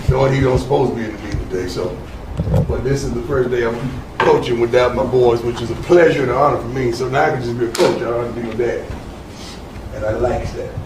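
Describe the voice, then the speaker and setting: A man speaking as head coach, giving an emotional, earnest speech to his team. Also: mid-range voice. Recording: echoey room from far off, background noise.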